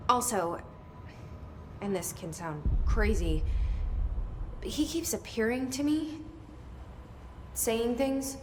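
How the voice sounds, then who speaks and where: An actress playing a guarded young agency director in a video game, speaking in a terse, reserved, plain way. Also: female voice, a little high, very animated, takes it slowly, pauses often. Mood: hesitant. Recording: echoey hall with faint noise.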